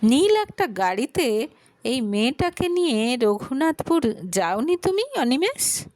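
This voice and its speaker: A woman's voice: high voice, very animated, measured pace, darkish tone, dry recording. A woman voicing a betrayed wife who speaks in a hard, biting tone in an audio story. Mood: stern. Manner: stern, biting.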